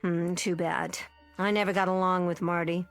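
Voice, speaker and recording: An actress playing a proud, wistful, temperamental middle-aged movie star in a mid-century television drama, with haughty, precise delivery. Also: female voice, fairly low pitch, level tone, normal speed, natural voice. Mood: wistful, sardonic. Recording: vintage TV soundtrack with music.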